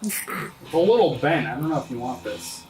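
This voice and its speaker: A man's voice: a little high, sing-song, brisk, darkish tone, far from the mic. A man playing a sheepish, casual boy in a tabletop roleplaying game. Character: sheepish boy hawking his trading cards. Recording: roomy, background noise.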